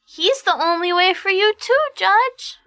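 Role: innocent, fearless little girl, extremely high and bright. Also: measured pace, exaggerated voice. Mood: innocent, matter-of-fact.

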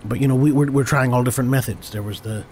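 A man with a cold, hoarse and stammering, hosting an improvised comedy podcast and constantly correcting himself. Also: medium pitch, fairly even intonation, fast talker, a little mellow, slight background noise. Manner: rambling, stammering, self-correcting. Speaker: podcast host.

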